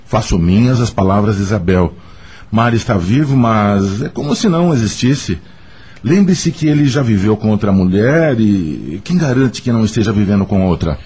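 A man's voice: fairly low pitch, lively intonation, moderate pace, darkish tone, breathy edge. A man acting in a radio soap opera as a frank, supportive confidant, reassuring and matter-of-fact. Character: Carlos, frank, supportive male confidant giving advice.

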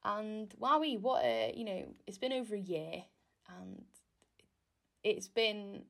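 A young woman from Wales hosts her own podcast, talking on her own in a relaxed, rambling way with plenty of fillers. Her first language is Welsh. Her voice has a noticeable vocal fry running through it. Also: medium pitch, takes it slowly. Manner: chatty, self-deprecating, rambling with fillers. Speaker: podcast host. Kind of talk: casual solo podcast monologue.

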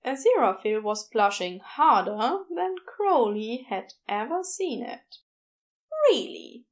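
A woman's voice: on the high side, expressive, unhurried. A woman reading scripted fiction as an audiobook narrator.

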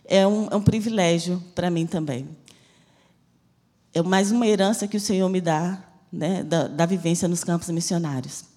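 A woman's voice: lowish voice, mellow, long reverb tail. A woman from Salvador with a Bahian accent blended with a Rio accent, preaching a fervent, emphatic church sermon as a missionary. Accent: Bahian Portuguese mixed with Rio de Janeiro. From Salvador, Bahia, Brazil. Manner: fervent, emphatic preaching with slow, stressed phrases. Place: church hall.

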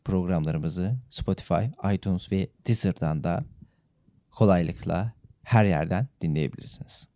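A man with a low, very mellow voice co-hosts a chatty podcast. His phrasing is courteous and playfully formal, like a radio presenter's. The recording is close and dry. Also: a little slow, no echo. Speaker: podcast co-host. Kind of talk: casual radio-style chat and sign-off.

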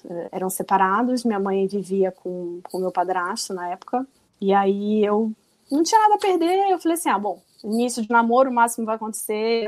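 A woman's voice: medium pitch, darkish tone. A woman in her forties from Rio de Janeiro with a Carioca accent, a guest telling her story in a casual, chatty, self-deprecating way. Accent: Carioca Brazilian Portuguese. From Rio de Janeiro, Brazil. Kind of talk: casual interview chat.

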